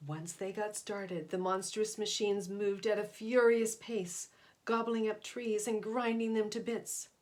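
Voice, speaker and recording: A woman's voice: medium pitch, fairly even intonation, a little slow, darkish tone. Woman reading a children's story aloud. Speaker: volunteer picture-book reader. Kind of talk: read-aloud children's story narration with asides to listeners.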